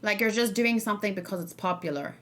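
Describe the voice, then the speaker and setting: A woman's voice: medium pitch, quick pace. Casual female streamer, English speaker. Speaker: streamer reacting to game trailers. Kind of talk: casual livestream chat.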